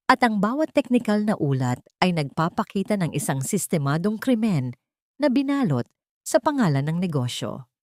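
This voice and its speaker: Low-pitched woman narrating drama. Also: a little mellow, no room sound, clean studio sound. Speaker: audiobook narrator. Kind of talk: dramatic scripted narration of a love story.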